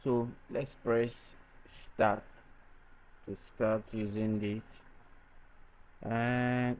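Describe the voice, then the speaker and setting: A man presenting an unscripted software tutorial in a halting, hesitant way. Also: medium pitch, flat delivery. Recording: dull lo-fi microphone sound.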